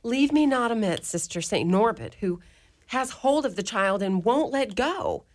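A wry, motherly mother superior, played by a woman in a community radio play. Her speech has a gentle lilt, teasing one moment and firm with the children the next. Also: fairly high pitch, lively intonation, unhurried. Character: Irish mother superior of a convent orphanage, warm, wry.

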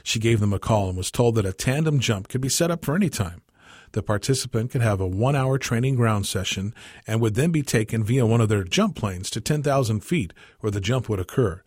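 A man with a husky voice reading a scripted short story as narrator. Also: fairly low pitch, expressive, a little fast, a little mellow, no room sound, clean studio sound. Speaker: story reader.

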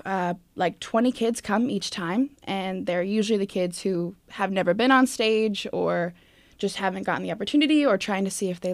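A woman's voice: mid-range voice, no echo. A woman being interviewed, giving casual, plainspoken explanations as a guest. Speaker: interview guest, theater youth board leader.

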